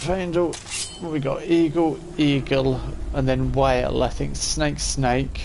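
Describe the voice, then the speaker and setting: A man's voice: medium pitch, flat delivery, slow pace, creaky, darkish tone, a little hiss or hum. A man in his forties giving casual live-stream commentary as he plays, chatting and thinking aloud. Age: 40s.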